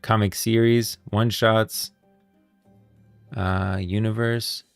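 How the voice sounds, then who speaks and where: A man's voice: on the low side, little pitch movement, takes it slowly, creaky, clear, resonant tone, dry recording, faint music behind. A man hosting a livestream, chatting informally and thinking aloud as he browses. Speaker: livestream host. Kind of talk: casual livestream chat.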